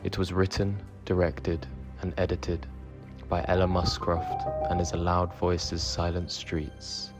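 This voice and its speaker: A man's voice: deep voice, completely flat delivery, takes it slowly. A man reading scripted credits as the announcer of an audio drama. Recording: music under the voice. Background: music.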